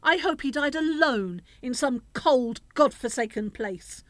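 A woman's voice: high-pitched, animated, a little slow, dry recording. A woman playing a bitter, harsh older widowed mother in a radio play. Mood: venomous.

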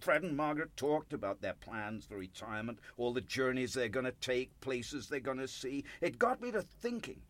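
A man's voice: mid-range voice, fairly bright tone, dry recording. An actor in a radio play playing an earnest, nervous older born-again businessman with a folksy, pious, rambling way of talking.

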